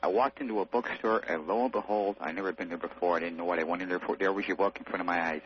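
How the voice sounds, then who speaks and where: Man, low deep voice, radio caller, earnest casual storytelling, muffled phone sound with slight noise. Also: fairly even intonation, rapid-fire. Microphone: telephone. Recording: muffled phone line, faint hum and noise.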